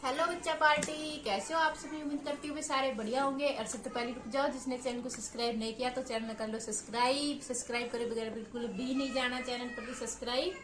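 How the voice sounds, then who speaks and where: Fast, high-pitched woman channel host. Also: steady tone, fairly bright tone. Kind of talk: upbeat scripted subscribe promo.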